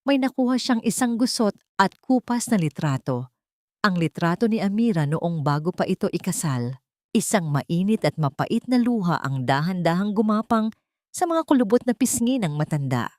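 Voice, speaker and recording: A woman narrating a scripted drama story in a measured, solemn way. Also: mid-range voice, sing-song, darkish tone, dry recording, studio quality.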